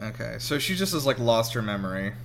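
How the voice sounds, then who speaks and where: A man giving casual, excitable, sweary commentary as host of a film reaction show. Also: mid-range voice, brisk, gravelly. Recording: music playing behind. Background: film soundtrack music.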